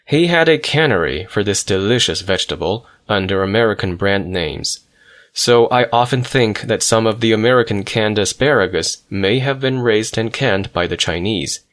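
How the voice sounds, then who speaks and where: Low-voiced man narrating a memoir. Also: animated, normal speed, a bit bright. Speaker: audiobook narrator.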